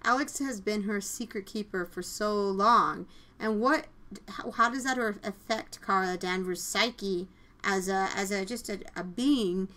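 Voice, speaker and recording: Female podcast host, bright tone, mid-range pitch, casual and jokey review chat. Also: unhurried.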